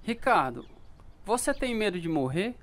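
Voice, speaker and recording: A man's voice: sits high, sing-song, normal speed, a bit bright. An actor playing a troubled man who tells of his fearful youth in an earnest, emotive radio drama style. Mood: curious, uneasy. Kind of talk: dramatized first-person story narration. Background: plucked guitar music.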